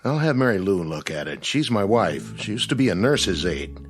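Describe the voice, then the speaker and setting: A plain-spoken American diner owner in a radio play. His lowish voice swings in a lively way, friendly, direct and puzzled, with faint music behind. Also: moderate pace, breathy edge. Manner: colloquial, direct, reassuring. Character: plain-spoken, friendly but puzzled roadside cafe owner, adult man. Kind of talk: radio drama acting.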